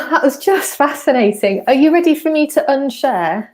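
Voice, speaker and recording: A woman hosts a live online session over a video call. Her voice sits fairly high, and her talk is friendly and chatty, with plenty of fillers as she reads through viewer comments. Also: lively intonation, moderate pace, slight background noise. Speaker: host.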